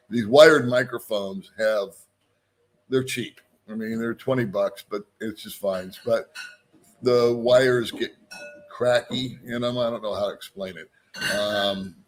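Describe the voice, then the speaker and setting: A middle-aged man hosting a casual live stream, joking at his own expense and greeting viewers by name. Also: medium pitch.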